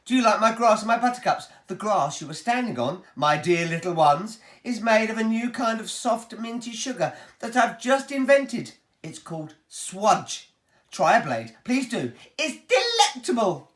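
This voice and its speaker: A woman voicing an eccentric, excitable male chocolate-maker who gushes and shows off in a booming, lively voice, recorded in a roomy space. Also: moderate pace. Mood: gushing. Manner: booming, gushing, showy. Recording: roomy echo.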